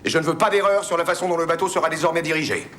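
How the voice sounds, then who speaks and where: An actor playing a shrewd, courteous British expedition leader in a French film drama, formal, clipped and authoritative. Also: male voice, a little high, rapid-fire, a bit bright, slight background noise, close to an everyday voice. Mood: commanding.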